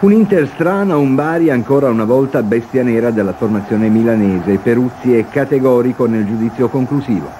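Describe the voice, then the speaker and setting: A man's voice: mid-range voice, fairly quick, few pauses, warm tone. A man reading a scripted football match report as a television sports reporter. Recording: noisy archive TV broadcast.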